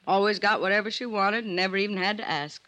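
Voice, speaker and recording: An actress playing a resentful, folksy spinster housekeeper with clipped, sardonic talk in an old-time radio drama. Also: female voice, medium pitch, fast, pushed and bright, lightly acted. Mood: bitter, resentful.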